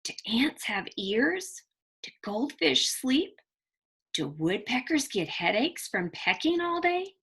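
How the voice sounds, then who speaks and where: A woman teacher reading a picture book aloud to children, expressive and deliberate, pausing to put questions to her young listeners. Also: fairly high pitch, slightly rough. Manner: deliberate, emphatic delivery addressed to children.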